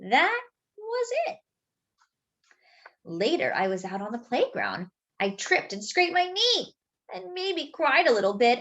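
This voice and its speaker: A woman reading a children's picture book aloud, pausing to point out details in the pictures. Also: high-pitched, a bit bright, muffled recording. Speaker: children's storyteller.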